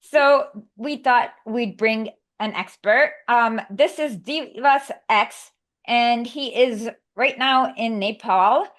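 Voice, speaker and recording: A woman with a slightly high voice hosts an interview over a video call. She talks casually, with frequent ums. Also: slow, a bit bright. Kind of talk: hosting an interview.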